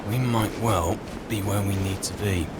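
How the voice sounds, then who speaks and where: Man muttering over loud game noise. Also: steady tone, unhurried. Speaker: gameplay roleplayer and narrator. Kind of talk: in-character commentary over a fantasy video game. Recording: loud game ambience and rumbling behind.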